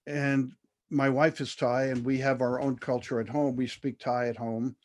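Older man, interview guest, measured storytelling, thoughtful pauses, plain ordinary voice. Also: mid-range voice, flat delivery, darkish tone. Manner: measured, thoughtful, with frequent fillers and restarts.

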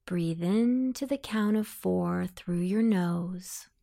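A woman narrating a guided meditation in a soothing, deliberate, instructing manner. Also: lowish voice, creaky, mellow.

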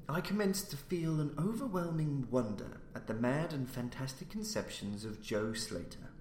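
Male narrator for gothic horror prose: expressive, swooping delivery, deliberate emphasis, recorded in an echoey room. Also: mid-range voice. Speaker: audiobook reader and podcast host. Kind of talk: dramatic literary narration of a horror story. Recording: roomy echo with faint background noise.

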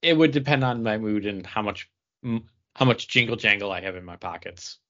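Low-pitched man in casual conversation. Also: a little fast, sharp tone. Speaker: podcast host. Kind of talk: casual chat. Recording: slightly dull, lo-fi recording.

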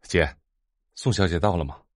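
A man's voice: sits low, normal speed, dry recording, studio quality, lightly acted. A man playing a polite, respectful younger brother in a Chinese audiobook drama.

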